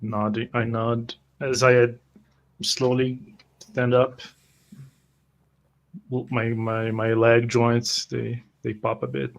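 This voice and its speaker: A man playing a reserved adventurer in a tabletop roleplay, narrating his actions in a terse, hesitant, measured way. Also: medium pitch, completely flat delivery, vocal fry. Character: slow-moving, reserved adventurer with creaky joints.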